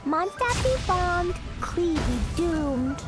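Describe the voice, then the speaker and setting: A woman's voice: extremely high pitch, lively intonation, slow, heavily acted. A woman voicing a small, cheerful, innocent little girl in a video game, with a playful sing-song manner. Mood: earnest reciting. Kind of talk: video game character voice acting. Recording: game audio under loud music.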